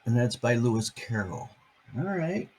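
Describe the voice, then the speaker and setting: Older man, grandfather type, husky and lively, reading a picture book to the grandkids, playful asides. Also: mid-range voice, measured pace. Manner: playful, chatty, asks the listeners questions.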